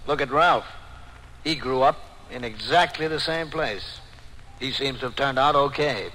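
A man playing a calm police captain, polite and firm, in old-time radio drama style. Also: medium pitch, measured pace, natural voice. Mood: reasoning. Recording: noisy old radio broadcast recording.

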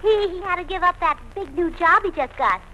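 A woman's voice: extremely high pitch, animated, fairly quick, sharp tone, dull audio, heavily acted. A woman playing a cheerfully illogical, scatterbrained young wife in a 1940s radio comedy, talking in a chirpy, earnest way. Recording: old radio broadcast with hiss.

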